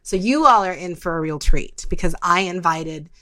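A woman's voice: on the low side, animated, normal speed, sharp tone. A woman hosting a podcast, moving between reading a prepared piece and chatting. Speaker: podcast host. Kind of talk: reading an essay and chatting with a guest.

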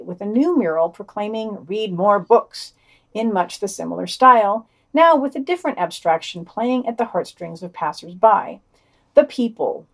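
A woman's voice: on the high side, a little mellow. A woman co-hosting a podcast, reading a scripted news digest and quoted passages aloud. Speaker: podcast co-host.

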